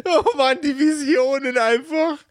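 A man's voice: extremely high pitch, fairly even intonation, a little slow. A man bantering casually as game master of an improvised tabletop game.

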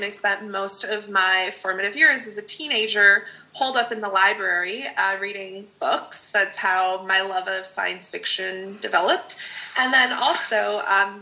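A woman's voice: medium pitch, sharp tone, rough recording. A woman in her thirties, a native Dutch speaker raised in the Netherlands, chatting candidly as a podcast guest and laughing often. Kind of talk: casual podcast interview.

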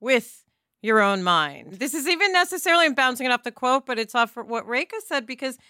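A woman with a fairly high, very bright voice co-hosts a podcast in an informal, emphatic way. She is recorded close and dry, with faint music behind her. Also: animated, brisk, no echo. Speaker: podcast co-host. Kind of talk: scripted intros and casual conversational talk.

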